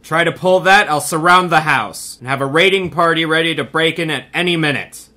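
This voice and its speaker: A man's voice: on the high side, brisk, bright, forceful tone. An actor playing a brash, blustering male police commissioner in a 1930s-style radio drama. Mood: indignant. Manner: gruff, indignant, blustering.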